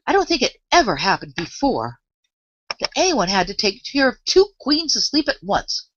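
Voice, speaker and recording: A woman's voice: a little high, sing-song, normal speed, highs cut off, background noise, pitched above the performer's own voice. A woman voicing a curious, impatient young girl in a storybook reading. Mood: puzzled. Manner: impatient, puzzled.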